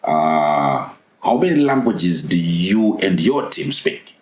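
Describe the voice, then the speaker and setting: A man with a very deep voice hosts a conversational interview, his pitch moving in lively swings. He keeps encouraging his guest with short affirmations, and the room around him rings with echo. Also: slow, a crowd in the background. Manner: encouraging, with frequent backchannel affirmations. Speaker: interview host. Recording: roomy echo.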